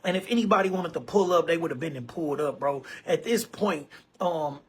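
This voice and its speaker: A man in his forties speaks casually and emphatically to camera, circling back to the same points and leaning on bro and man. His voice sits high for a man and has a husky, breathy edge. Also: a little fast. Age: 40s. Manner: emphatic, repetitive, keeps saying bro and man. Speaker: online show host.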